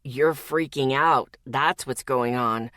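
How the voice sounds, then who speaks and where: A woman voicing a calm, steady, protective young man who speaks low, soft and even. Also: slow, no room sound, clean studio sound, exaggerated voice. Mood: calm firm.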